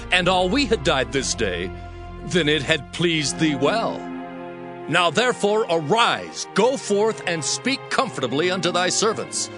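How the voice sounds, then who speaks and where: A blunt army commander dressing down his king, lively pitch. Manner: forceful, scolding, measured. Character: stern army captain rebuking his king. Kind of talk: dramatized scripture reading. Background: music.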